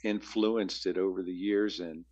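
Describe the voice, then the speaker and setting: A man with a very low bass voice, answering interview questions as a musician guest, rambling with frequent restarts. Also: steady tone, unhurried, a little mellow, muffled recording.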